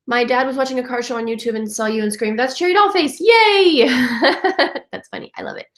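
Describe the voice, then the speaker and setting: A woman's voice: sits high, moderate pace, fairly bright tone, reverberant. A young woman with a stuffy, congested voice from a cold, chatting casually and cheerfully at home while she answers viewers' comments with lots of fillers. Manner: chatty, upbeat, many fillers. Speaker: vintage lifestyle vlogger.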